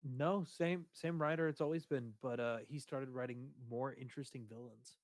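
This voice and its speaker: Booming-voiced man rambling in dry sound. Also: fairly even intonation, normal speed, dry recording. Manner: rambling, hesitant with many fillers. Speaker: streamer discussing his game character. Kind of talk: casual chat.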